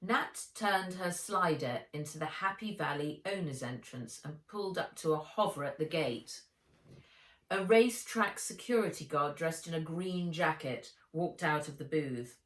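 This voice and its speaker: A woman reading a children's adventure story aloud with dramatic, deliberate delivery. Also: low voice, fairly bright tone. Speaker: author reading her own book aloud. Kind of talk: expressive children's audiobook narration. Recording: roomy echo, distant from mic.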